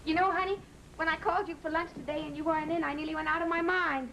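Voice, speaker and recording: High-voiced, anxious, affectionate wife. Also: female voice, steady tone, fast, gravelly, fairly bright tone, slight background noise, full character voice, low-quality recording. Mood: needy, affectionate. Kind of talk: old-time radio drama acting. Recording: vintage radio recording with faint music.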